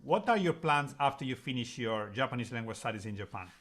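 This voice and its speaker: A man's voice: medium pitch, expressive, fast talker, fairly bright tone. Man hosting an interview channel. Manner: friendly, hesitant with frequent uh fillers, non-native phrasing. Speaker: YouTube channel host, interviewer. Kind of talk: video call interview.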